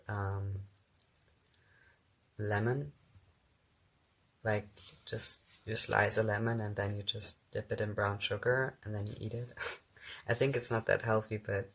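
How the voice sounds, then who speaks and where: Young German man, low gravelly voice. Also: fairly bright tone. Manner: reads viewer questions aloud, answers with frequent ums and laughs. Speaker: actor hosting his own livestream. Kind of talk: casual livestream chat answering comments.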